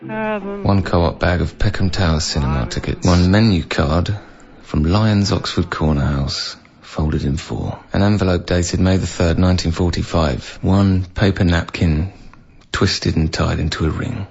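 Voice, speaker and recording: Gravelly, matter-of-fact council man. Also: sits low, animated, a little slow, a little mellow, dull audio, full character voice. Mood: matter-of-fact, subdued. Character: dutiful male council officer listing belongings. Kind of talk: radio drama, dry itemised reading.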